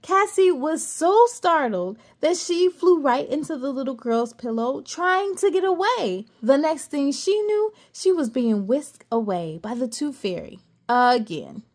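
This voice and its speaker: A woman storyteller reads a children's picture book aloud, her high voice rising and falling with lively expression. She takes an unhurried pace and breaks off for playful, chatty asides to her young listeners. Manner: animated, playful, gasps and comments to listeners.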